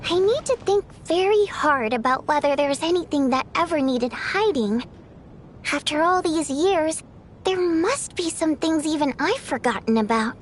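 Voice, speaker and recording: An actress playing a brisk, outspoken young theater worker in a video game, talking in a casual, direct way. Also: female voice, sits high, animated, slight background noise. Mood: thoughtful.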